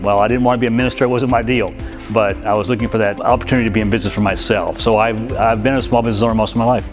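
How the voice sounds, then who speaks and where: A man, a small business owner, telling his story in an easygoing way. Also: on the low side. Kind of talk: testimonial. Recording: background music.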